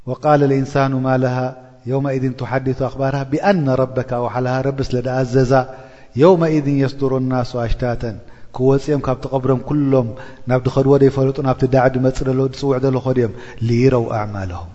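Mellow-voiced male preacher, reverberant lo-fi recording. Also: mid-range voice, fairly even intonation, fast. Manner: asks the listeners rhetorical checks, intones quoted verses. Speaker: Islamic preacher explaining a Quran chapter. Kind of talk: religious lesson, reciting and explaining verses. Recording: dull lo-fi recording with long reverb.

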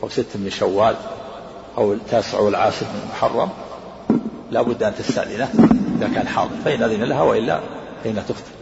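A man teaching as a scholar, explaining texts in a rapid lecture and repeating his points. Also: lowish voice, creaky, very noisy. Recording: echoey hall, dull lo-fi sound.

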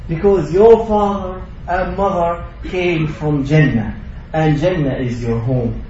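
A man's voice: high voice, warm tone, a little hiss or hum. A man giving a Friday sermon in a mosque, preaching with rising emphasis and mixing in Arabic recitation. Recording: distant echoey mosque recording, dull.

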